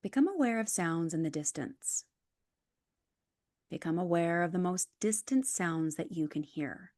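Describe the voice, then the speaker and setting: Low-voiced woman guiding meditation. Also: lively intonation, a little slow, a little mellow, no echo, clean studio sound. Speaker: yoga nidra instructor. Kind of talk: guided meditation, scripted instructions.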